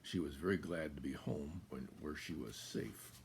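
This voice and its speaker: Gravelly older American man reading a storybook. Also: very deep voice, moderate pace, noisy background. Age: 70s. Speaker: guest storyteller at a church story time. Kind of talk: reading a children's picture book aloud. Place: small room indoors.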